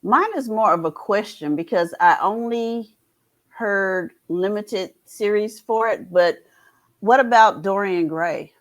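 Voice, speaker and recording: A man's voice: sits high, slow pace, a bit bright. A man chatting casually as a participant in a panel discussion. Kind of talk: casual chat.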